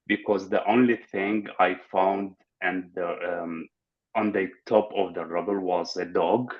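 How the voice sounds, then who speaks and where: A man's voice: deep voice, a bit bright. A man who grew up in Syria, speaking up as an audience member in an online seminar, talking informally with frequent "like" fillers. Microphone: video call. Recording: muffled video-call audio.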